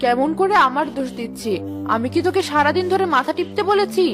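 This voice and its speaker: A woman's voice: high voice, lively intonation, fast, over music. A woman voicing a spiteful, scolding village aunt in a cartoon, with a nagging, scornful manner. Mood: indignant. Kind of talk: Bengali cartoon voice acting.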